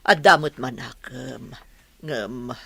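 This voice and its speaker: A woman's voice: a bass voice, slow pace, vocal fry, a bit bright, slightly rough, no room sound, heavily acted. A woman playing an ailing, fretful mother of grown sons in a radio drama, plaintive and motherly, with a Filipino accent. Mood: worried. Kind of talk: Ilocano radio drama acting.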